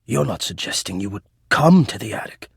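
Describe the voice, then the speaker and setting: A man's voice: fairly low pitch, sing-song, a little fast, gravelly, husky, no room sound, studio quality, heavily acted. An actor voicing a lovesick, anxious male spirit of the air vents in a video game, with intense, theatrical and rather formal delivery. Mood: despairing, self-pitying. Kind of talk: dramatic romantic video game voice acting.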